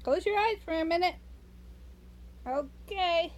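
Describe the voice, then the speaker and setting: A woman reading a storybook aloud to young children, expressive and sing-song, adding playful asides straight to the listener. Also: extremely high pitch, slow pace.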